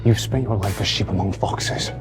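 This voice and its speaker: An actor playing a coolly intimidating, terse and assured duke in an audio drama. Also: male voice, on the low side, moderate pace, natural voice. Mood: cool resolve. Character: commanding, coolly intimidating young male duke. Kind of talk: audio drama trailer acting. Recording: music behind the voice. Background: background music.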